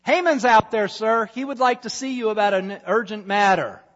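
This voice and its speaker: A man's voice: high voice, normal speed, fairly bright tone, voice pushed up. A man voicing a dutiful male royal attendant who announces a visitor. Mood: urgent. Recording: dull reverberant hall sound.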